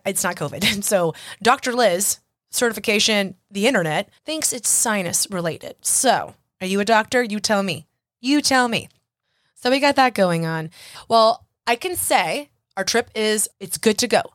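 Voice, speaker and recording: A young woman hosting a solo podcast, chatty and self-mocking, her voice husky and a little hoarse from congestion. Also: fairly high pitch, fairly bright tone, no room sound, studio quality. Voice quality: husky, raspy. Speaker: podcast host.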